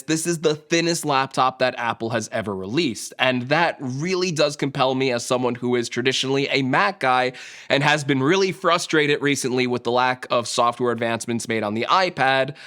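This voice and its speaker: Young male tech vlogger, a bit hoarse, chatty and wry, mid-pitched. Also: fairly bright tone. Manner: chatty, self-mocking. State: slightly hoarse from a sore throat. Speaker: tech YouTuber. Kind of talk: casual talk to camera.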